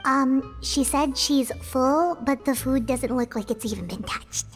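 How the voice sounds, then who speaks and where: A woman voicing a small, earnest, squeaky fairy companion in a video game. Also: a little slow, faint music behind. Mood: puzzled.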